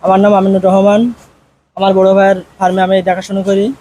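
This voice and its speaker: A man's voice: high-pitched, completely flat delivery, fairly quick, warm tone. A man with a Bangladeshi accent from the Jessore region, a farm caretaker giving short, plain interview answers. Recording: noisy on-location farm recording.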